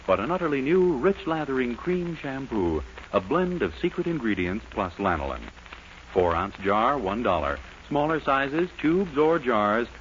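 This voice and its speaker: A man's voice: lowish voice, fairly bright tone, slight background noise. A man announcing on 1940s radio, reading ad copy with polished, emphatic salesmanship. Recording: muffled vintage 1940s radio broadcast.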